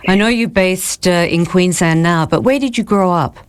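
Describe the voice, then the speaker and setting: A woman with a low voice hosts a podcast interview. She speaks in a measured way and leans on key words as she introduces her guest and asks her questions. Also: smooth. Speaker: podcast host.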